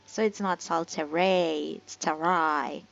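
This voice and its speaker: A woman's voice: low voice, steady tone, slow pace, creaky, lo-fi sound. A woman giving casual playthrough commentary, musing aloud in a rambling way. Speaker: gaming video host.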